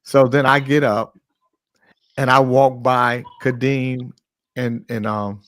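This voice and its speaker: A man hosting a morning talk show, telling a long, profane anecdote in a casual, animated way. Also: mid-range voice, slow pace, no room sound. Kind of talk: casual storytelling.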